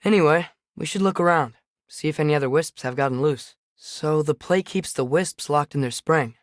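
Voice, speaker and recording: A man's voice: fairly high pitch, normal speed, no room sound, clean studio sound, lightly acted. A young male actor with an American accent playing an earnest, curious teenage boy adventurer in a video game, with a light youthful voice and casual, observant delivery. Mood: thoughtful, curious. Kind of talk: video game companion dialogue.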